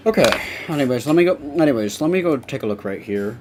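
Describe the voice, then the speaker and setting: A man's voice: medium pitch, lively intonation, quick pace, darkish tone, slight background noise. A young man chatting casually with viewers on a live stream while he works, rambling and swearing now and then.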